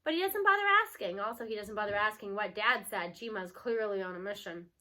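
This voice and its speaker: A woman narrating a children's story aloud in an expressive read-aloud style. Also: medium pitch, brisk.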